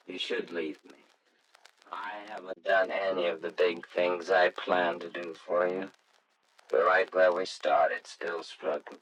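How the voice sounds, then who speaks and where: An actor playing a grieving, tender husband and father in 1940s radio drama style, speaking haltingly and earnestly. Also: low-pitched, fairly bright tone, slight background noise, low-quality recording.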